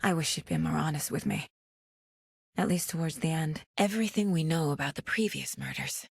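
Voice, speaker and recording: A woman's voice: low voice, a little fast, creaky, slightly rough, no room sound, studio quality, full character voice. A woman playing a determined, guarded investigator in a horror video game, earnest and terse in a naturalistic delivery. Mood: serious, resolute.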